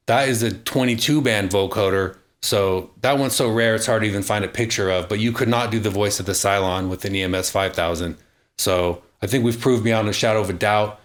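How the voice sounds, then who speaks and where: A man presenting an informal, matter-of-fact technical explainer as an enthusiast demonstrating gear. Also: lowish voice.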